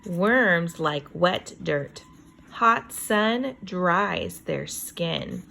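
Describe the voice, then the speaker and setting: A woman teacher reading a children's book aloud, reading deliberately and adding chatty, wondering asides about it. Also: lowish voice, expressive, creaky, bright, forceful tone, a little hiss or hum, poor audio.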